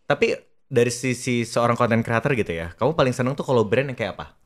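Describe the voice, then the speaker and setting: A man's voice: mid-range voice, lively intonation, fast talker. A man hosting a casual interview, asking prompting questions and agreeably affirming the answers. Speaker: podcast host, interviewer.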